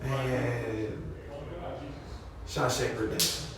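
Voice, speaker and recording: A man's voice: mid-range voice, flat delivery, extremely slow. An American man chatting casually as an interview guest, laid-back and slangy in his delivery. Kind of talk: casual chat. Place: echoey room at a party. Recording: very echoey room, distant mic, crowd chatter.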